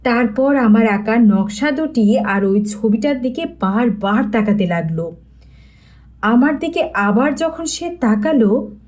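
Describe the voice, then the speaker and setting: A woman's voice: on the high side, mellow. A woman reading a scripted story aloud as an audiobook narrator.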